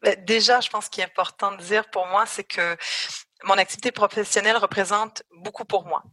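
A woman's voice: medium pitch, fairly even intonation, a little fast, sharp tone, no room sound. A middle-aged woman with a French-Canadian accent, an HR executive answering interview questions thoughtfully with frequent hesitations.

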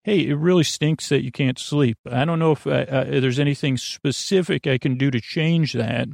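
A man in his forties with a gravelly, creaky voice, hosting a sleep podcast in a meandering, halting monologue that trails off and circles back. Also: mid-range voice, a little mellow, no echo, studio quality. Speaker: podcast host. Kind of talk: rambling bedtime monologue to help listeners sleep.

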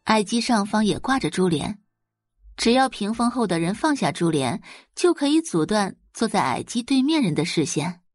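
A woman narrating a dramatic audio novel in an expressive storytelling manner. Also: mid-range voice, normal speed, no echo, clean studio sound. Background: faint background music.

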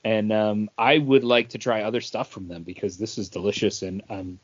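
A man hosting a podcast, chatting casually and haltingly, often repeating himself. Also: fairly low pitch, steady tone, no echo, dull audio. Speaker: podcast host. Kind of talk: casual chat.

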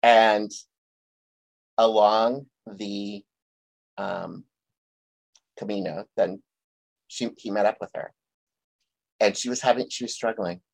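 A low-voiced man with a gravelly edge hosts a reflective conversation. He speaks gently and thoughtfully, often pausing as he retells a story. The sound is dry and close. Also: steady tone, no room sound, low-quality recording. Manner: gentle, thoughtful, tells stories. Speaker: podcast host. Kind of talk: reflective interview chat.

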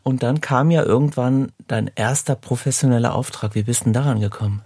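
A man hosting a relaxed, conversational interview, putting questions and remarks to his guest. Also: medium pitch, a little fast, husky, no echo, clean studio sound. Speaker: interviewer, host.

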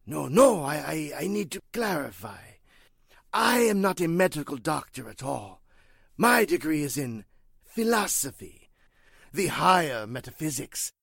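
A man performs a pompous male cult leader from a vintage radio mystery. His voice is gravelly and swoops widely in pitch. He is formal, halting and slippery, in a dry recording. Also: sing-song, a bit bright, no room sound, heavily acted. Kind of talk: 1940s radio drama acting.

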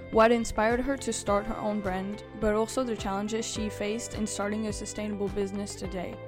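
A woman hosting a podcast and asking questions in a conversational interview style. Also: mid-range voice.

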